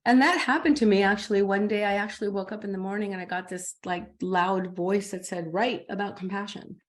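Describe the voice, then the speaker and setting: Animated woman coach, lively talk. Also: medium pitch, brisk. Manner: animated, acts out her inner dialogue. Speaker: coach and podcast guest. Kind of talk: conversational podcast interview.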